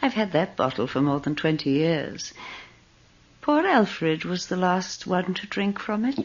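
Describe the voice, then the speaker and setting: Husky, genteel old widow. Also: female voice, lowish voice, lively intonation. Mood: wry, insinuating. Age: older. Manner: genteel, deliberate, insinuating. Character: old wealthy self-serving widow, genteel and eerie. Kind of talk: radio drama acting. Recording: dull lo-fi radio recording.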